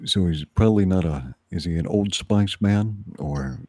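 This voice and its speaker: A man's voice: sits low, moderate pace, a little mellow, no echo. A man co-hosting a livestream with casual, joking banter. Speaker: livestream co-host.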